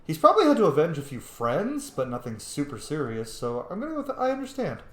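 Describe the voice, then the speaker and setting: A video game player with a mid-pitched voice, giving casual, silly, informal commentary while roleplaying. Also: wildly swooping pitch, fast, reverberant, a little hiss or hum. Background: faint video game music.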